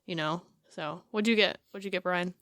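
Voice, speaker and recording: A woman co-hosting a casual podcast chat, talking in quick asides and laughing often. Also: on the low side, fairly bright tone, dry recording, clean studio sound. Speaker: podcast co-host.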